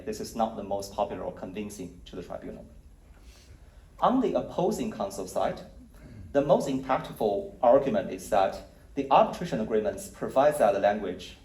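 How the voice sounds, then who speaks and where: Man, conference presenter, lowish voice, measured and explanatory, some fillers, distant mic, echoey hall. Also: mellow. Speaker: conference speaker presenting survey results.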